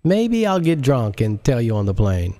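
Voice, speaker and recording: A man's voice: mid-range voice, very animated, normal speed, dark tone, in a large hall. A sly, coy woman from an audiobook novel, as a male narrator reads her. She is playful and teasing. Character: sly, playful woman scientist drinking tequila.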